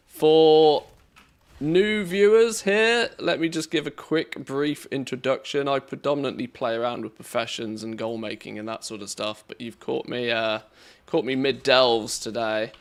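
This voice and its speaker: A man with an English accent giving relaxed, chatty commentary on a live game stream, reacting to play and greeting viewers. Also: mid-range voice, measured pace, clear, resonant tone. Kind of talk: casual live-stream commentary while gaming.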